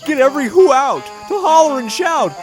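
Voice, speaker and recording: A performer plays a gentle male elephant for a children's audio story. The delivery is sincere and pleading, with wide swings of pitch. Music runs underneath. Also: expressive, moderate pace. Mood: alarmed. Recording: music behind the voice.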